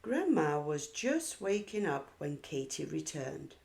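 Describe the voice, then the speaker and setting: Female children's read-aloud narrator: expressive, unhurried, low-toned, telling a story to little kids. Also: gravelly. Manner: measured, animated storytelling for young children.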